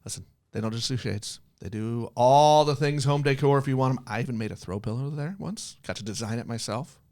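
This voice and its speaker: A man's voice: mid-range voice, brisk, a little mellow. A man hosting a podcast in an upbeat, casual style, with a jokey, chatty manner. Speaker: podcast host.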